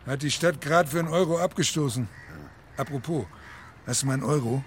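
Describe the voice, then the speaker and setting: An actor playing a scruffy, sardonic local man in a radio drama, jeering in a gravelly voice. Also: on the high side, a little fast, slight background noise, close to an everyday voice. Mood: mocking.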